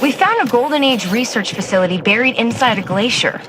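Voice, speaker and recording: An actress playing a driven, confident scientist-adventurer in a video game, brisk and matter-of-fact as she guides an ally. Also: on the high side, expressive, background noise, lightly acted. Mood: focused. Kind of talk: video game cutscene acting. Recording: loud music behind the voice.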